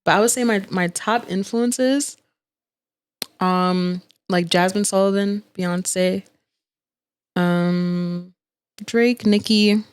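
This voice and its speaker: A woman's voice: medium pitch, fairly even intonation, slow, pauses often, smooth, dry recording. A woman in her twenties from Jamaica with a New York accent, chatting casually as a singer guest in an interview. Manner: easygoing, laughing, chatty storyteller.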